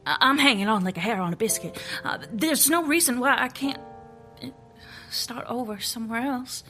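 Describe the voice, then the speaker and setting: An actress playing a weary, skeptical female FBI agent in an audio drama, speaking haltingly and with open feeling. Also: on the high side, bright, forceful tone, soft background music. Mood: uncertain, tentative.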